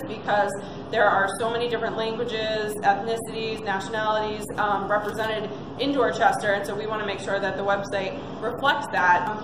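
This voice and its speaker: A woman in her forties giving friendly, fluent introductory remarks at an event in a small cafe. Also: a little high. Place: small cafe with big street windows, indoors. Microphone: far camera. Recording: echoey room with noise.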